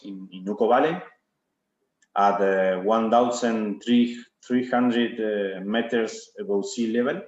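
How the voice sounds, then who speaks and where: A man in his thirties, an Argentinian winemaker who speaks English with an Argentinian Spanish accent and a Spanish mother tongue, presenting haltingly with many fillers and restarts. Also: on the low side. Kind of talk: hesitant video-call presentation. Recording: echoey lo-fi room audio.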